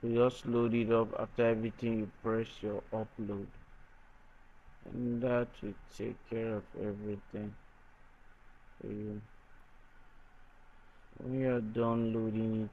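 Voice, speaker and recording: A man presenting an unscripted software tutorial in a halting, hesitant way. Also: fairly low pitch, level tone. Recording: dull lo-fi microphone sound.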